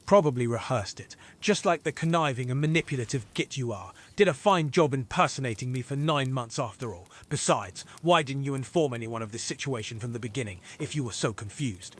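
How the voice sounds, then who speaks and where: A man plays a gruff old wizard interrogator in a fantasy audio drama. He is menacing and scornful, barking orders with deep suspicion. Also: lively intonation, fairly quick, hardly any pauses, a bit bright. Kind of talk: fan audio drama acting.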